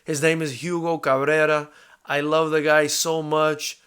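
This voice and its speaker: Bright-voiced American man talking fights. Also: fairly high pitch, flat delivery, a little slow, clear, resonant tone. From South Florida, United States. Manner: conversational, addresses listeners as brother and my man. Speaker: combat sports show host and fight promoter. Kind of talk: casual live fight commentary, answering viewer comments.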